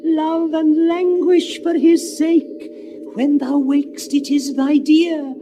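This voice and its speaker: A woman's voice: high-pitched, flat delivery, takes it slowly, mellow, slight background noise. A woman voicing a fairy spell-caster, chanting an incantation. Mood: incanting.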